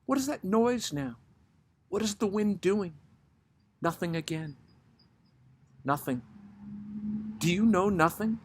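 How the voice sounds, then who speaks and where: A man's voice: high-pitched, lively intonation, slow pace, long pauses, a little hiss or hum, voice pushed up. A man voicing an anxious, demanding, nervy woman in a poetry recitation, pleading with urgent, repeated questions.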